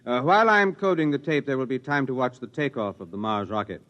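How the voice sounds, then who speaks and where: An actor playing a brisk, self-assured technocrat with a formal, condescending manner in a 1950s radio drama. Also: male voice, medium pitch, very animated, close to an everyday voice. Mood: officious. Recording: slightly dull old radio recording.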